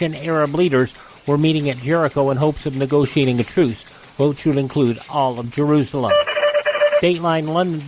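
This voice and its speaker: Higher-voiced American man reading news. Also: a little mellow, slight background noise, low-quality recording. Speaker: radio host reading historical news. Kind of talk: scripted newscast reading.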